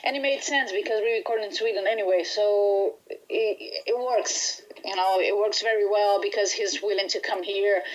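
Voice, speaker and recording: A woman's voice: medium pitch, normal speed, lo-fi sound. Chatty Brazilian woman in an interview. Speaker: band musician being interviewed. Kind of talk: casual interview chat.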